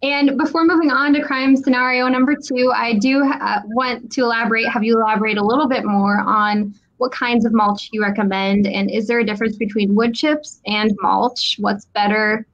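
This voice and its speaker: A woman's voice: fairly high pitch, fairly even intonation, moderate pace, few pauses, lo-fi sound. A woman hosting a live online Q&A, upbeat, reading out viewer questions and moving the talk along. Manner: upbeat, reads out viewer questions, laughs easily. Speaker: host, moderator.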